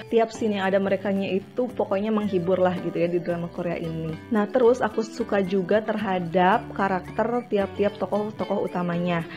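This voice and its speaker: A woman's voice: mid-range voice, a little mellow. A woman giving a casual, chatty spoken review of a TV drama, with lots of filler words and small restarts.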